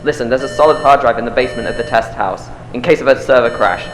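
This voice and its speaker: A man's voice: mid-range voice, quick pace, faint music behind, natural voice. An actor playing an irritable, dismissive male tech worker in an audio drama, blunt and colloquial. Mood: exasperated. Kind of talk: naturalistic audio drama acting. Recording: echoey, long reverb.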